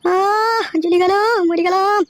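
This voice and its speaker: A woman's voice: extremely high pitch, steady tone, measured pace, no room sound, studio quality. A woman voicing a pregnant village mother-in-law in a comedy cartoon, moaning and pleading. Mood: pained, pleading. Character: wailing pregnant mother-in-law in labour, middle-aged, pleading. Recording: music behind the voice.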